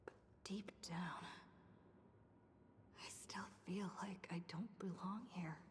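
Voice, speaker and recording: Slow, hushed, weary young woman. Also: fairly low pitch, steady tone, husky, heavily acted. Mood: weary, wistful. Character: weary, doubtful young woman office worker. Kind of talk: video game voice acting.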